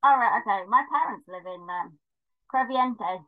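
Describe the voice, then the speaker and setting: Higher-voiced woman interviewer, phone-like audio. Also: moderate pace. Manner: laughs readily, short acknowledgements. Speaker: podcast host. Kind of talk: casual conversational interviewing. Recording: tinny, phone-like call audio.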